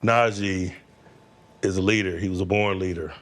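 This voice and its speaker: Deep-voiced man in measured speech. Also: steady tone, fairly bright tone. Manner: measured, short declarative sentences. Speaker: professional football head coach. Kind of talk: interview sound bite.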